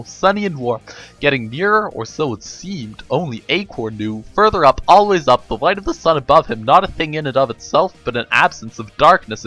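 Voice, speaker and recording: Animated male narrator, bright voice over music. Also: mid-range voice, muffled recording. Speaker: reader of a dramatic reading. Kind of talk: dramatic audiobook-style narration with pictures.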